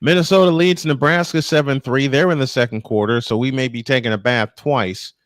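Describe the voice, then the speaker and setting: A man's voice: medium pitch, fairly even intonation, brisk, no echo, clean studio sound. A man calling baseball play-by-play and bantering casually as a sportscaster.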